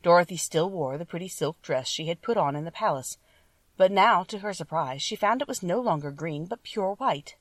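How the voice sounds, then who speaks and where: Lower-pitched woman narrating a storybook. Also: lively intonation, moderate pace, dry recording, recorded from a distance. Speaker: audiobook narrator. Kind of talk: scripted children's story narration.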